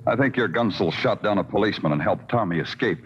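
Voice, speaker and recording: An actor playing a weary, hard-boiled New York police detective in a radio crime drama, brooding, clipped and sardonic. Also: male voice, lowish voice, animated, fast, slight background noise, close to an everyday voice. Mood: accusing. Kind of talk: 1950s radio noir acting and narration. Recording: old radio recording, muffled, music underneath.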